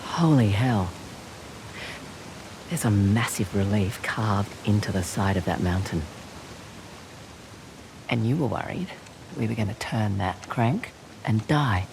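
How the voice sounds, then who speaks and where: A woman's voice: a bass voice, takes it slowly, vocal fry, pauses often, background noise, heavily acted. A woman playing a wry, teasing, adventurous treasure hunter in a video game cutscene. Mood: wry, easygoing.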